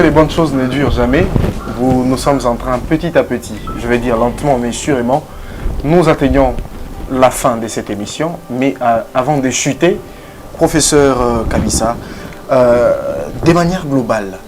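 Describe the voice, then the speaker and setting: Male host over clear music. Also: mid-range voice. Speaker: interviewer, show host. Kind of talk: broadcast interview hosting.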